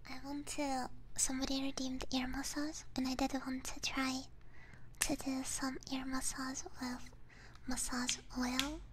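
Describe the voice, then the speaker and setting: A woman ASMR streamer speaks in a soft, breathy whisper, close to a binaural mic. Her delivery is unhurried and casual, rambling gently to her viewers. A faint layer of noise sits in the recording. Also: high-pitched, monotone, low-quality recording. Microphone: binaural ASMR microphone up close.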